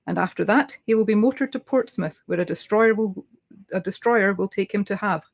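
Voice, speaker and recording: A woman reading a classic novel aloud in a creaky voice, as scripted narration. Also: medium pitch, darkish tone. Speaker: amateur audiobook reader, library team member.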